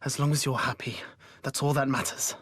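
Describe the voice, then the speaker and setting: A man's voice: mid-range voice, steady tone, brisk, fairly bright tone, no room sound, studio quality, lightly acted. A man playing a bitter young villager in a video game, intense and grudging. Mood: grudging, resigned. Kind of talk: dramatic game acting.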